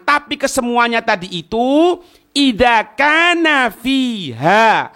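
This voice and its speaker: A man's voice: sits high, bright, forceful tone. A man with an East Javanese Indonesian accent teaching an Islamic text, explaining it phrase by phrase with frequent rhetorical questions. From East Java, Indonesia. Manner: didactic, asks rhetorical questions and checks understanding. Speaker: Islamic teacher giving a religious study class. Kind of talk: religious lesson reading and explaining an Arabic text line by line.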